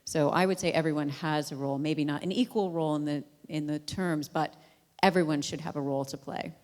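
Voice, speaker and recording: A low-pitched American woman on a conference panel, talking emphatically. Her voice carries a long reverberant tail from a large hall. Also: steady tone, fairly quick. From United States. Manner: emphatic, self-described loud voice. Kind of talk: panel discussion.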